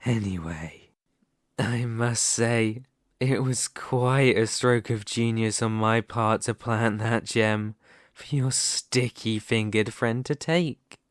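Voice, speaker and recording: Casting: male actor for demon lord, fantasy ASMR roleplay. Mid-pitched, extremely unhurried, dominant, taunting, a touch crazed. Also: no echo, studio quality, lightly acted. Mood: smug gloating. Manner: slow, menacing, taunting with drawn-out words.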